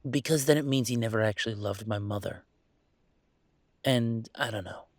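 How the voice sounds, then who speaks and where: A man acts out a troubled young werewolf in an audio roleplay. The voice is lowish and gravelly, recorded close and dry. He rambles haltingly, covering hurt with sardonic, self-deprecating humor. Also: no echo, close to an everyday voice. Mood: hurt, indignant. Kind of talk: intimate audio roleplay monologue.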